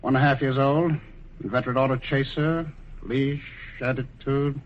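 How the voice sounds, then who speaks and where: A man's voice: medium pitch, steady tone, normal speed, slight background noise, natural voice. A man playing an officious, pedantic clerk in a 1940s radio drama. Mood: brisk, fussy. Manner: pedantic, bureaucratic. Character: officious, fussy male clerk of a celestial bureau. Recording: dull vintage 1940s radio recording.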